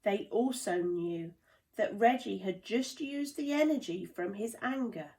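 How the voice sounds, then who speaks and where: A woman reading a children's storybook aloud in a deliberate, dramatic storytelling manner. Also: mid-range voice, expressive, dark tone, poor audio. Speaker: play therapist reading a children's book. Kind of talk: expressive children's storybook narration.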